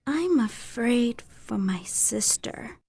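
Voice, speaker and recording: Troubled, guarded teen girl, female actor, high-pitched and slow, hesitant delivery for dramatic scene. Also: expressive, creaky, a little mellow, husky, dry recording, clean studio sound, heavily acted. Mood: frightened, anguished. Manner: hesitant, defensive. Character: troubled, guarded teenage girl, sullen and frightened. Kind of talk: staged dramatic reading.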